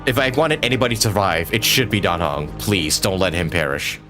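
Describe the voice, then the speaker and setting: A man with a fairly deep voice gives casual, emotionally invested game reactions into a close microphone. Clear game music plays behind him. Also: brisk. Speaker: streamer reacting to a game story. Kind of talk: casual live reaction commentary, reading some lines aloud.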